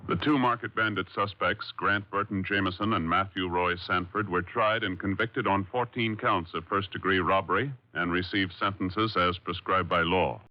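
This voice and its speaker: A male radio announcer with a steady, formal, solemn delivery from a 1950s broadcast. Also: deep voice. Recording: muffled old radio broadcast with music behind.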